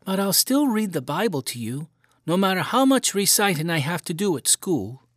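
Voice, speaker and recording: A man's voice: sits high, sing-song, normal speed, no room sound, studio quality, pitched above the performer's own voice. A man voicing an earnest five-year-old farm boy in a storybook reading, lifting his voice well above his own. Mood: eager.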